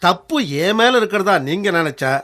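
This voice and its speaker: A man's voice: sits high, animated, normal speed, fairly bright tone. A man playing a middle-aged, dignified and conciliatory uncle in a Tamil radio drama, speaking with earnest emphasis. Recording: faint music bed under the voice.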